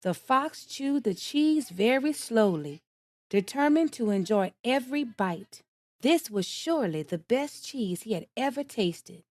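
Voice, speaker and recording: A woman reading a storybook aloud at bedtime, gentle and expressive, in a measured way. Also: on the high side, dry recording.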